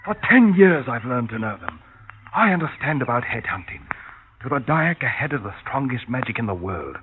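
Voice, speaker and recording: An actor playing a hardened, bitter jungle guide in a 1950s American radio drama, speaking intensely in clipped, emphatic sentences. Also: male voice, mid-range voice, sing-song, moderate pace, lightly acted. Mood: heated, insistent. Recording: muffled, noisy old radio recording.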